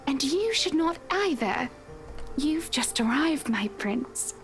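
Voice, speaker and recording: A woman's voice: high voice, sing-song, soft background music, full character voice. A woman acting a possessed, possessive wife in a horror game, with formal, cloying sweetness. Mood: coolly defiant. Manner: slow, formal, cloying endearments.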